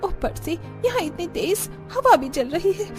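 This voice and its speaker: A woman's voice: extremely high pitch, sing-song, measured pace, heavily acted. A woman voicing a young newlywed daughter-in-law in a cartoon, whiny, dramatic and delicate. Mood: grumbling. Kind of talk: Hindi cartoon story dialogue. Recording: music behind the voice.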